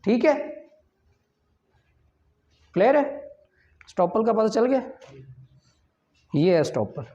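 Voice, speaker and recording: A man's voice: high-pitched, very animated, takes it slowly, long pauses, dark tone. A man with a Pakistani accent giving an informal law lecture, explaining with examples, dropping in English terms and checking that students follow. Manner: explanatory, checks understanding with questions; mixes in English legal terms. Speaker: law teacher. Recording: dull lo-fi recording.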